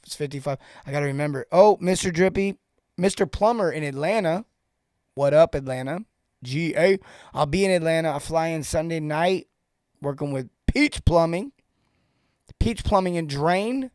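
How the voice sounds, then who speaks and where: Man, forties, slightly high voice, dry sound, informal live-stream host, animated coaching style, joking asides, slangy banter. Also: dry recording. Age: 40s. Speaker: host and sales coach for plumbers.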